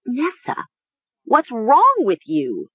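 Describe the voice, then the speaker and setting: A woman's voice: a little high, huge pitch swings, takes it slowly, slightly rough, no echo, muffled recording, pitched above the performer's own voice, heavily acted. A woman voicing a shocked, grieving young woman in an audiobook, choked, faint and stammering. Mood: alarmed. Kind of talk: audiobook character acting.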